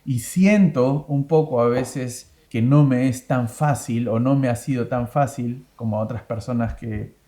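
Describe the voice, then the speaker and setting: A man in his thirties hosts a relaxed interview, speaking with a mellow, warm tone. He asks questions in a chatty way, often circling back to rephrase them. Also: mid-range voice, a little slow. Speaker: host, interviewer. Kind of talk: casual interview, plus read sponsor ad.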